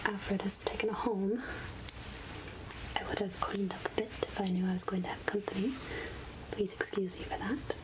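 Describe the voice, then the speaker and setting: A woman voicing a friendly, centuries-old witch of the woods in a soft-spoken ASMR roleplay, gentle and musing in a breathy whisper. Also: medium pitch, level tone, lightly acted, rough recording. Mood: gentle, helpful. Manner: slow, gentle, musing, archly addressing a mortal. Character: three-hundred-year-old witch of the woods, friendly, wryly curious.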